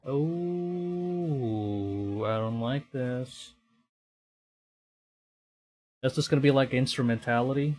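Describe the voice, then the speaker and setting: A man's voice: medium pitch, slow pace, a little mellow, slightly rough. A man streaming a horror visual novel, reading it aloud with live reactions and acting out the dialogue dramatically.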